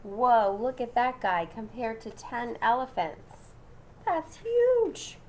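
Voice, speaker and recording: Slow, very expressive woman reading aloud. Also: a little high, slight background noise, rough recording. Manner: dramatic, engaging listeners with questions and asides. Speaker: storybook reader. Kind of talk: expressive children's read-aloud with asides to young listeners.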